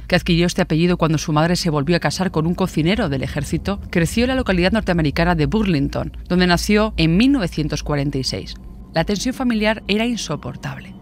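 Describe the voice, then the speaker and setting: A woman's voice: on the low side, slight background noise. A woman delivering scripted true-crime narration as a podcast narrator.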